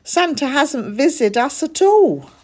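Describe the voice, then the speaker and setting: A woman's voice: high-pitched, very animated, slow, voice pushed up, heavily acted. A woman voicing an eager, boastful little boy cat in a children's storybook, earnest and emphatic. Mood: disappointed.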